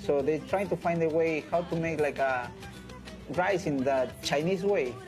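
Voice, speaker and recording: Peruvian man speaking halting English over music. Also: a little high. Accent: Peruvian Spanish. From Peru. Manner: halting non-native English with repeated phrases. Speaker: chef. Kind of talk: informal documentary interview. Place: indoors in a restaurant.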